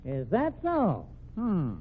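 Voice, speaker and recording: A hard-nosed American detective from a vintage radio crime drama, heard through a thin, narrow old recording. His voice sits a little high and swings widely in pitch. He is brusque and slangy, needling like an interrogator. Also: wildly swooping pitch, slow pace, husky, exaggerated voice. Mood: skeptical. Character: tough, pushy, slangy male police detective.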